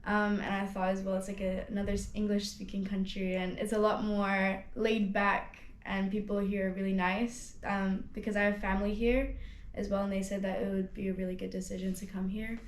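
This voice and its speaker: A young woman of nineteen, raised in Hong Kong, speaks in a relaxed testimonial. Her voice sits at a middle pitch and she talks loosely, full of fillers and restarts. The room adds a noticeable echo. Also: fairly quick, a little hiss or hum. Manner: chatty, lots of "like" and restarts. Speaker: student ambassador. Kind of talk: casual testimonial interview. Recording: roomy echo.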